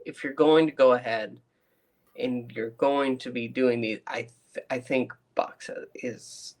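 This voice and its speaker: A man's voice: medium pitch, steady tone, measured pace, darkish tone. A man co-hosting a casual review chat, giving his opinions with emphasis. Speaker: podcast co-host reviewing audio dramas.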